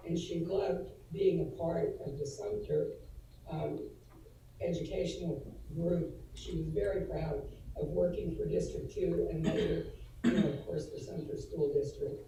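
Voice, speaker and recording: A woman, slightly hoarse from allergies, giving an earnest tribute at a virtual school board meeting, with frequent fillers and restarts. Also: sits low, steady tone, measured pace, mellow, slight background noise. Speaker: adult education administrator presenting to school board. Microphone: video call. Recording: echoey room, distant from the mic, faint ambience.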